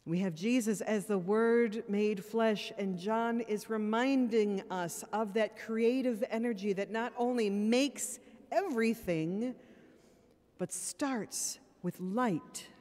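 A woman priest preaches at a pulpit microphone in a church, her words trailing into a long hall reverb. She talks in an earnest, plain-spoken way, drops in wry asides, and sounds a little tired. Her pace is slow and unhurried. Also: medium pitch, a little mellow. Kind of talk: sermon.

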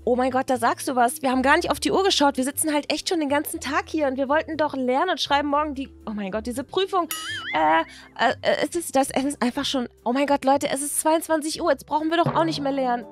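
A woman voicing an excitable, anxious teenage schoolgirl in a toy-video story, chatty, slangy and dramatic. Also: sits high, fast talker, soft background music, close to an everyday voice. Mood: flustered.

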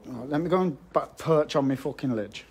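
A young man exploring a dark abandoned building, chatting casually to viewers on a phone held at arm's length, blunt and swearing now and then. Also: a little high, fairly quick. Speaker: urban explorer vlogger. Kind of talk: casual live-stream chat.

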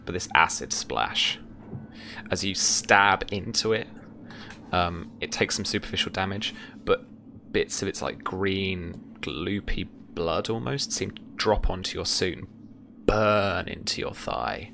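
A young man running a tabletop roleplaying game, narrating and talking through rules casually in a halting, repetitive way. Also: low voice, gravelly, bright, forceful tone, a little hiss or hum. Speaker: game master. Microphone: headset or desk mic, seated indoors on a video call.

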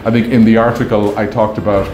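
Low-voiced middle-aged executive, roomy echo. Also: male voice, little pitch movement, fast, darkish tone. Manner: explanatory, often checks with "right?". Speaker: company executive being interviewed. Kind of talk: conversational interview explanation. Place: indoor meeting room at trade show. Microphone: clip-on lapel mic. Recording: roomy echo, music underneath.

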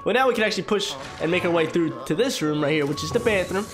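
Young man, congested, high-pitched, rapid slangy gameplay chatter, headset, game music behind. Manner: energetic, slangy, jumps to high-pitched exclamations. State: congested, stuffed-up nose. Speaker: gaming YouTuber. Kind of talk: casual let's play commentary.

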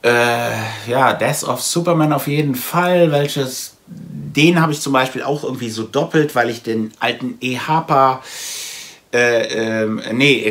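A man's voice: medium pitch, fairly bright tone. A man in his forties from Germany chatting casually to camera as a vlogger, rambling and thinking aloud. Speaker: comic book vlogger. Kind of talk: casual chat to camera, reviewing a comic haul. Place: small room at home with packed shelves, indoors.

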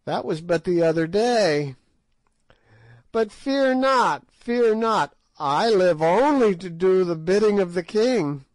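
Man doing ancient wicked witch, storybook villain, shrill piping cackle, slow gloating words, dry close sound. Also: expressive, no room sound. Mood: sneering. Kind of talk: audiobook character voice.

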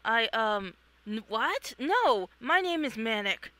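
A performer voicing an excitable, blunt and wary young superhero in a comic read-along. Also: mid-range voice, slow pace, no echo, full character voice. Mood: confused, alarmed.

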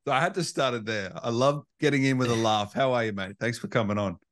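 A man's voice: mid-range voice, fairly bright tone, no room sound. Man, podcast host, mid-range voice, dry sound, friendly, laughs a lot. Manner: friendly, laughs often, quick reactions. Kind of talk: casual interview chat.

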